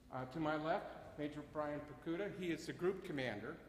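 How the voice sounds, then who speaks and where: Loud halting man in an echoey chapel. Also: on the high side, slight background noise. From Bucks County, Pennsylvania. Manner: loud, halting, with many uhs and self-corrections. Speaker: ceremony emcee and CAP wing commander. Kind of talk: ceremony address. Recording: echoey chapel with long reverb.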